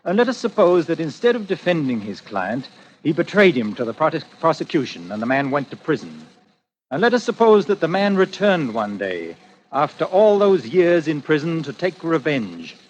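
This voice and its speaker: Menacing gentleman killer, measured and insinuating, animated pitch, old-time radio suspense, slightly noisy recording. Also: lo-fi sound. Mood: quietly menacing. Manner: measured, insinuating, formally polite. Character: sinister strangler, coolly menacing man with polite manners. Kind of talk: 1940s radio suspense acting.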